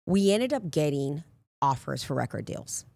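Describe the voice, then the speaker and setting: A raspy woman in her forties, a life coach telling candid personal stories in a casual interview. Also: sits low, very animated, normal speed, dark tone, no echo. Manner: candid, rambling storyteller with frequent asides. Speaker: life coach, podcast guest.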